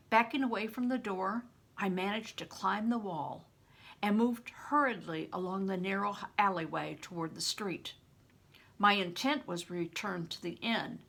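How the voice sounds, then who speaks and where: An older woman reading a children's novel aloud as a storyteller, with an expressive, dramatic and emphatic delivery. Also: medium pitch, vocal fry, breathy edge. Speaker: retired reading teacher, storyteller.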